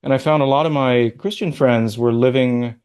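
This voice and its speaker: A man's voice: medium pitch, normal speed, a little mellow, clean tone. Thoughtful man on a video call. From Middle East (born in Qatar, raised in Oman). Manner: thoughtful, restarts and rambles while recalling. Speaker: guest, sociology professor. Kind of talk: conversational interview over video call.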